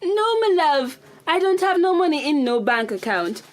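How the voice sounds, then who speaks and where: A woman's voice: high voice, big pitch swings, moderate pace. A woman with a Jamaican accent playing a nervous, chatty government secretary in a radio drama, plain-spoken and colloquial.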